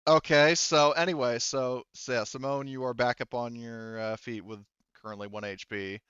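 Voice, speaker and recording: A man working as game master, thinking aloud haltingly and casually swearing as he narrates a tabletop roleplaying game. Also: mid-range voice, steady tone, fairly bright tone, clear, resonant tone, no echo, lo-fi sound.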